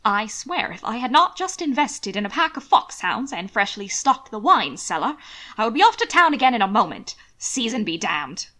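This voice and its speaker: A woman voicing a snobbish gentleman in a period audiobook, with a sneering drawl. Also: fairly high pitch, very animated, moderate pace.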